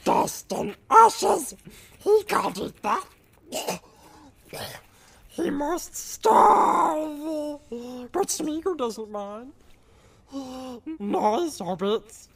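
A man voicing a thin, greedy male creature who talks of himself in the third person, in a gravelly, whining, drawn-out voice. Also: extremely high pitch, expressive, a little hiss or hum, voice pushed up, full character voice. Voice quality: gravelly, croaking, sing-song. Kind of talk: audiobook character voice.

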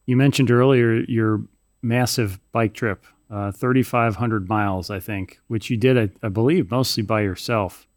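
A man's voice: on the low side, steady tone, moderate pace, dry recording, studio quality. A podcast host putting long, curious, multi-part questions to his guest. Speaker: podcast host interviewing a pilot. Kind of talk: interview questions.

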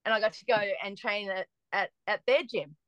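A woman, chatting as a podcast guest and telling lively stories with frequent restarts. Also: medium pitch, a little fast, no echo, dull audio. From Australia. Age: 40s. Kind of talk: casual chat.

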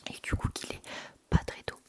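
Whispering ASMR woman. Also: normal speed. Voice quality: unvoiced, breathy whisper. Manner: informal, chatty, intimate. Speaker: ASMR video creator and host. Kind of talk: ASMR, casual whispered chat to viewers. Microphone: sensitive Tascam recorder without windscreen, close up.

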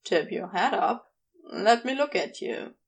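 A woman voicing a seductive male demon in an audiobook, low and hard, deliberate and playfully commanding. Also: lively intonation, breathy edge, far from the mic. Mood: playfully firm.

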